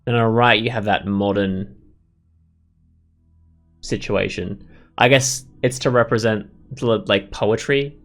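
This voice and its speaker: Casting: male gaming host, lowish voice, casual, opinionated, thinking aloud as he plays. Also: fairly even intonation, unhurried, long pauses, a bit bright, faint music behind. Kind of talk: casual let's play commentary.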